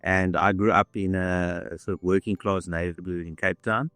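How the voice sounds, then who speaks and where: A man in his sixties with a lowish voice tells his story in a measured, unhurried way. The sound is dry and close, with faint music under it. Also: steady tone, fairly bright tone, no echo. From South Africa. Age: 60s. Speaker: interviewee. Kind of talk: reflective storytelling.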